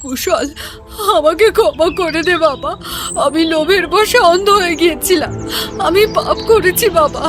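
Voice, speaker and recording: A woman's voice: extremely high pitch, moderate pace. A woman voicing a guilt-ridden mother in a horror cartoon, pleading desperately. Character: guilt-ridden greedy stepmother pleading with her son. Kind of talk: Bengali horror cartoon dialogue. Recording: loud music behind voice. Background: loud music.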